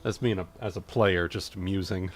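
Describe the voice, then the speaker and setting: A man's voice: deep voice, fairly even intonation, moderate pace. A man chatting casually as a player in a tabletop game.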